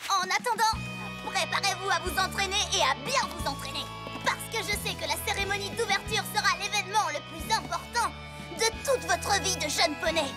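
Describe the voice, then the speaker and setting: A cocky, excitable young cartoon pony coach. The voice is very high and punchy, full of boastful impatience, with loud music behind it. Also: female voice, a little fast. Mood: forced composure. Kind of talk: animated cartoon voice acting. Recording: loud background music under the voice.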